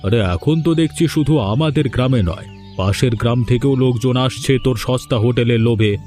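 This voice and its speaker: A man's voice: mid-range voice, a little fast, warm tone, faint music behind, natural voice. A man with a West Bengal accent voicing a benevolent, self-important village landlord in a cartoon, speaking with patronizing authority. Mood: patronizing approval. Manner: patronizing, approving, declamatory. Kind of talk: cartoon voice acting.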